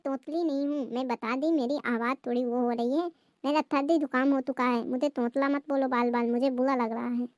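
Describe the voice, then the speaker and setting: A woman's voice: high-pitched, steady tone, a little fast, darkish tone, no room sound, studio quality, natural voice. A woman playing a meek but touchy young new bride with a lisp in a family comedy skit. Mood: hurt. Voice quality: lisping.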